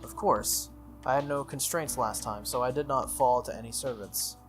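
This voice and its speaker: A man plays a young swordswoman servant from a visual novel. She speaks formally and solemnly, firm about her duty, at a medium pitch with music playing underneath. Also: moderate pace, a bit bright, natural voice. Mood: matter-of-fact. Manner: formal, solemn, matter-of-fact. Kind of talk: visual novel character read-aloud.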